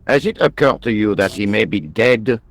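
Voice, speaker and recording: A man playing a pompous, self-important French police detective, speaking deliberately with a French accent in a video game. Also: lowish voice, animated. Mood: scolding, pompous. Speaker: police inspector.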